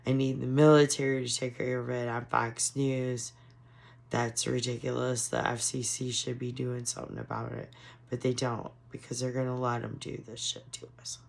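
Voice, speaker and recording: A woman giving casual, frustrated political commentary on her vlog, hoarse from losing her voice. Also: extremely low pitch, little pitch movement, moderate pace, a little mellow. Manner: frustrated, ranting. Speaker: commentary vlogger.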